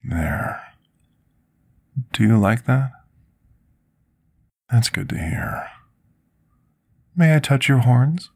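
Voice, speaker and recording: Man playing a slow, gravelly, deferential fantasy potion master. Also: mid-range voice, very animated, heavily acted. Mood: soothing, tender. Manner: formal, courteous, deferential, hesitant. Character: devoted male potion master, deferential servant to a dragon. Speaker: ASMR roleplay performer. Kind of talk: intimate fantasy ASMR roleplay.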